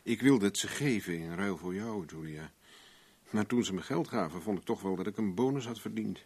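An actor playing a wry, cool private investigator in a 1970s Dutch radio drama, with a dry, casual delivery. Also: male voice, fairly low pitch, normal speed, darkish tone, breathy edge. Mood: dry, weary.

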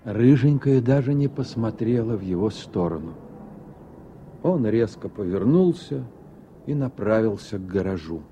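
A man reading scripted literary narration for a radio play, measured and with deliberate pauses. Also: on the low side, expressive, dark tone, slight background noise. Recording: dull old radio recording, faint music. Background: faint music.

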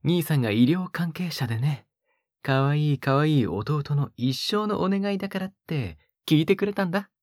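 A woman playing a sweetly possessive, sadistic young male captor in an audio drama, murmuring intimately to the listener in a husky, gently menacing voice that slips into whispers. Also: low voice, expressive, moderate pace, no room sound, studio quality. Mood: fondly nostalgic. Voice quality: breathy, husky.

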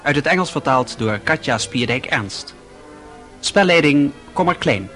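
A man reading a formal, measured scripted announcement as a radio drama announcer. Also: medium pitch, lively intonation, fairly bright tone, soft background music.

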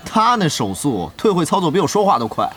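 A man's voice: a little high, big pitch swings, brisk, a bit bright, slight background noise. An actor playing an affable, scheming male guild leader in an audio drama, chummy, exclamatory and eager to please. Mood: rueful.

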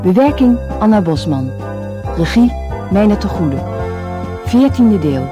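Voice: mid-range voice, sing-song, unhurried. Radio drama announcer over music. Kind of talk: scripted radio drama credits. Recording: music behind the voice. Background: loud background music.

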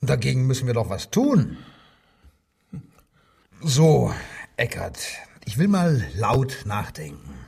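Casting: wartime police interrogator, male, husky, sardonic and insistent, radio crime drama, a little off the mic. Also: medium pitch, very animated, takes it slowly, pauses often, darkish tone, distant mic, exaggerated voice. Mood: mocking, needling. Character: male wartime German police detective, needling, coldly insistent. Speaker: actor.

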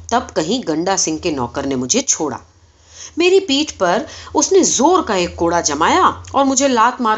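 A woman narrates a story from a script with expressive delivery. Her pitch is mid-range and moves in lively swings. The recording is a little dull and lo-fi. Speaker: audiobook reader.

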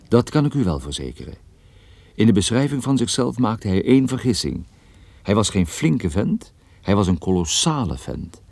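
An actor playing a private detective who narrates his case in a radio play, droll and deliberate. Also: on the low side, mellow, no room sound.

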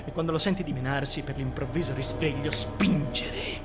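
A man's voice: moderate pace, loud music behind the voice, lightly acted. An actor playing a vain, self-pitying tyrant king in a theatrical, declamatory radio drama monologue, volatile and contemptuous. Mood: brooding, menacing. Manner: declamatory, volatile, contemptuous, swinging from hushed to shrill.

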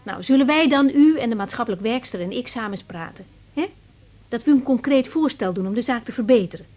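A woman's voice: a little high, lively intonation, fairly quick, a little mellow. Patient young woman doctor. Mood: conciliatory. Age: young adult. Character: patient, reasonable young female family doctor. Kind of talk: 1980s Dutch radio drama acting.

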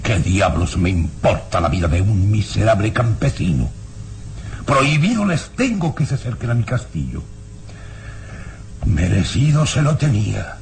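An actor playing an arrogant, cold baron in an old radio drama, speaking in a haughty, deliberate way. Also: male voice, on the low side, big pitch swings, vocal fry, a little mellow, slight background noise, exaggerated voice. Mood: contemptuous. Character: arrogant, menacing baron of a castle, cold and callous.

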